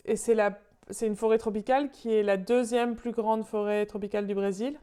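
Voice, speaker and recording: A woman interpreting live in an online meeting over a video call, halting and searching for words as she goes. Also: mid-range voice, steady tone, mellow, clean tone.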